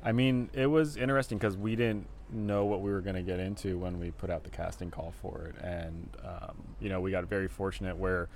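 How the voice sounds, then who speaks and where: A man, a film director, answering interview questions in a relaxed, rambling way full of fillers, recorded live at a festival venue. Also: low voice, brisk, hardly any pauses, a little hiss or hum.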